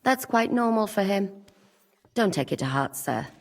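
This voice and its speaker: An actress playing a brisk, confident woman among medieval rebels in a video game cutscene, direct and composed. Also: mid-range voice, expressive. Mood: brisk, deflecting. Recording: long hall reverb.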